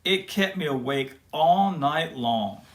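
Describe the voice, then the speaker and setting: A man reading a fairy tale aloud to children in a gentle, friendly storybook manner, then chatting warmly to his young listeners. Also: on the high side, animated, slow. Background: guitar music.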